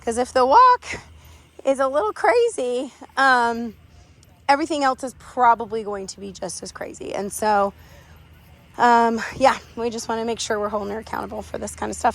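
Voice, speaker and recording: A young woman dog trainer, hoarse from losing her voice, explains a lesson in a chatty way and gives firm short commands to a dog, recorded on a phone in an outdoor park. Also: fairly high pitch, normal speed. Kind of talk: casual on-the-spot training explanation. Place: outdoor park with other dogs. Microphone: handheld phone camera.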